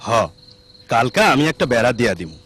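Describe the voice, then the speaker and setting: Cartoon village dad: gentle, responsible, mid-pitched with lively intonation, rural Bangladeshi accent, faint noise behind. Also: male voice, a little fast. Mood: resolved. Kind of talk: animated cartoon acting.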